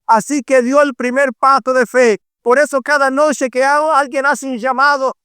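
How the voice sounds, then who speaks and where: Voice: sits high, fairly even intonation, normal speed, fairly bright tone, clear, resonant tone, no room sound, studio quality. A middle-aged preacher with a Dominican accent delivering a fervent evangelistic sermon, emphatic and repetitive, drawing out key phrases. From Dominican Republic.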